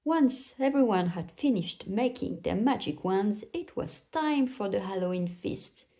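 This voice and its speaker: A woman's voice: medium pitch, lively intonation, measured pace, dark tone. A woman narrating a children's bedtime story aloud, telling it expressively for young listeners.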